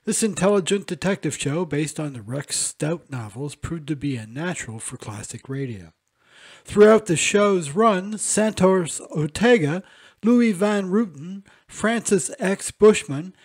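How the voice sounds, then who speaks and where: A man with a fairly high, husky voice presents an intermission between old radio episodes. His delivery is measured and informative, like a scripted host segment. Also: darkish tone.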